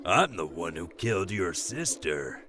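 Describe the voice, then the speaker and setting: A man's voice: low voice, big pitch swings, unhurried, noisy background. A man playing a cruel, power-hungry villain in an audio drama, taunting and menacing. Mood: gloating menace.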